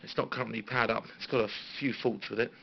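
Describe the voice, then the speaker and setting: A British man giving a casual, halting, understated tour of his hobby equipment, as an enthusiast showing viewers around. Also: mid-range voice, steady tone, vocal fry. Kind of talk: casual informal show-and-tell. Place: small radio room at home. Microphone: handheld phone. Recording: dull phone recording with faint noise.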